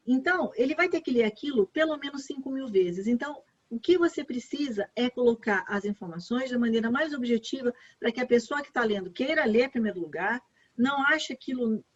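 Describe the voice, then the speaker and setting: A woman's voice: a little high, breathy edge. Chatty Brazilian woman on a video call. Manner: explanatory, chatty, repeats key points. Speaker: education advisor. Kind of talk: online workshop walkthrough. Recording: muffled lo-fi call audio.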